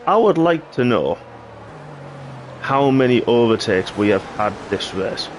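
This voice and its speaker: A man giving live play-by-play commentary on a sim racing league, hoarse and clearing his throat after losing his voice. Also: medium pitch, slow pace, pauses often, slight background noise. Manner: excitable play-by-play, rising sharply at incidents. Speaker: race commentator.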